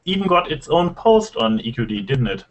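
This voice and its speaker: Questioning European man, medium pitch. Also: big pitch swings, normal speed. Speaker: podcast panelist. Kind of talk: casual discussion.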